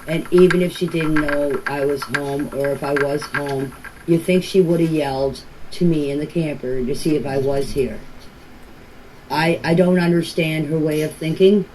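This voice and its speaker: A woman's voice: low voice, steady tone, moderate pace. An older woman with a Canadian Maritime accent speaks informally, answering questions and often repeating and rephrasing herself. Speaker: family member speaking about a case. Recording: noisy amateur voice clips with clicks.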